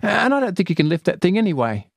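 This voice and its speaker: A man voicing a frightened, stubborn young girl trapped in a drain, shrill and pleading. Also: big pitch swings, fast talker, creaky, dry recording, clean studio sound, heavily acted. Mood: wary.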